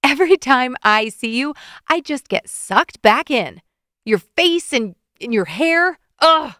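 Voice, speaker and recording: A flustered, heartbroken young woman, as a female narrator puts her on, pushed higher and tighter than the reading voice, blurting her feelings in teary rushes. close, dry sound with no room. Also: animated, fairly bright tone, dry recording. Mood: upset. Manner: emotional, tearful outbursts.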